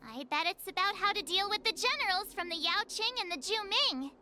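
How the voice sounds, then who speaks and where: A woman's voice: very high-pitched, lively intonation, brisk, pushed and bright, slight background noise, exaggerated voice. A woman voicing a perky, cheeky young girl adventurer in an anime-style video game, chirpy and playful.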